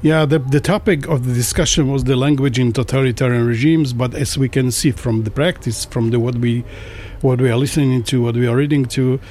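Brief: middle-aged man, translator on a discussion panel, mid-pitched, pauses and restarts often, careful English. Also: steady tone, a little mellow, slight background noise. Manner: halting, frequent restarts, non-native phrasing. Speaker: translator and interpreter.

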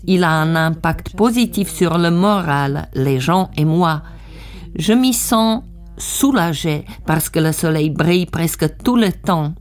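A woman with a somewhat low voice reads the translation of an interview in the first person. Her delivery is calm and plain, like a dubbing voice-over. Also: takes it slowly.

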